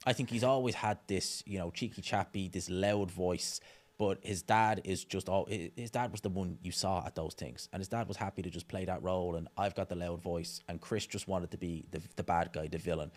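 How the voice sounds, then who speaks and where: Male guest, 30s, low voice, emphatic studio chat. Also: steady tone, a little fast, darkish tone. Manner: emphatic, mimics people he talks about. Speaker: podcast guest. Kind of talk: casual boxing chat. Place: studio set with dark curtains. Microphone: desk mic on boom arm.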